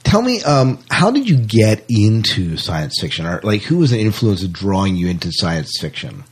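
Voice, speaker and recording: A man's voice: lowish voice, sing-song, moderate pace, slightly rough. A man hosting a podcast interview, chatting casually and rambling through restarts. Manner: rambling, many restarts, laughs often. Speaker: podcast host.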